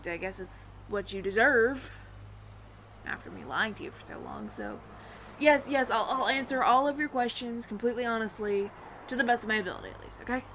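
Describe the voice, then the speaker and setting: A woman's voice: on the high side, big pitch swings, fairly quick, fairly bright tone, slight background noise. A woman voicing a friendly, flustered alien roommate who passes as human, speaking to the listener in a hesitant, stammering, earnest ramble in an audio roleplay. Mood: surprised, relieved.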